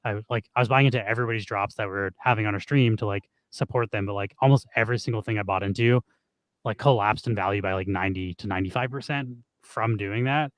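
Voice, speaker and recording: Hoarse, fast-talking rambling man. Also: lowish voice, no room sound. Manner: rambling, heavy use of "like". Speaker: streamer co-host. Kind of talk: casual stream chat.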